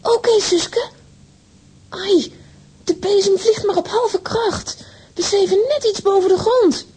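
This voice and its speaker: A woman's voice: very high-pitched, slow, a little mellow. An actress playing a spirited, impulsive young girl with dramatic, exclamatory delivery in a children's audio drama. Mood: eager.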